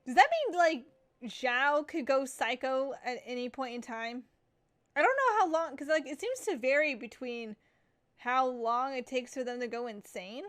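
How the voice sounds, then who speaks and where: A woman's voice: high voice, normal speed, fairly bright tone. A young woman gamer chatting casually and reading game text aloud, full of fillers and giggles. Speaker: gaming streamer.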